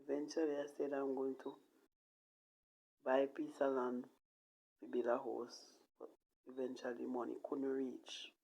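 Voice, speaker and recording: A woman with a Jamaican accent telling a personal story casually in patois, in a halting, emphatic way that repeats phrases. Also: a bass voice, little pitch movement, gravelly, dark tone, a little hiss or hum, rough recording. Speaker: vlogger telling own life story.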